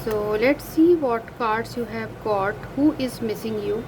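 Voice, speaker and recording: A woman with an Indian English accent, whose first language is Hindi, reads cards in a casual, halting way, pausing often to search for words. Her voice sits fairly high and her pace is slow. The recording carries clear background noise. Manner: hesitant, many uh fillers and self-corrections. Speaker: card reader. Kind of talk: casual card reading to camera.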